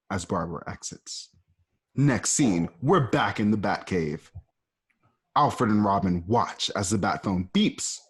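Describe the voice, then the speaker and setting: A man's voice: lowish voice, breathy edge. A man reading the stage directions of a home-recorded radio play in a plain, matter-of-fact way. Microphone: home microphone.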